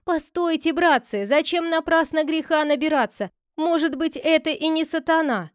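A woman's voice: sits high, moderate pace, no room sound, clean studio sound, voice pushed up, natural voice. A woman voicing a cautious male village clerk who pleads and reasons with the crowd. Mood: urging caution.

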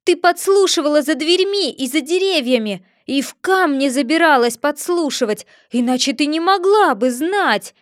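A woman's voice: high voice, animated, dry recording, clean studio sound. A woman voicing a pompous, touchy male egg figure who lectures and takes offense easily. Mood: furious. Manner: haughty, lecturing, quick to take offense.

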